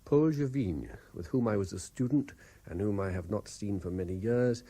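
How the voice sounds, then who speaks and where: An actor playing a haunted, reluctant private investigator in a radio drama, with a British accent and a hesitant, measured way of speaking. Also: male voice, on the low side, mellow. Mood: sombre, dictating. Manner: hesitant, stammering in talk, measured when dictating. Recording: 1970s radio drama broadcast.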